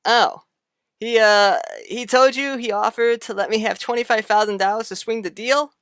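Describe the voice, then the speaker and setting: A woman's voice: a little high, steady tone, moderate pace, a bit bright, clean tone, no room sound, clean studio sound, lightly acted. A woman voicing an affable but defensive grown man with a folksy manner in a radio soap opera.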